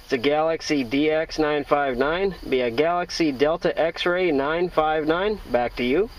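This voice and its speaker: An American man talking as a radio operator, trading call signs in a halting, folksy, friendly way. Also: on the high side, distant mic, noisy background. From Iowa, United States.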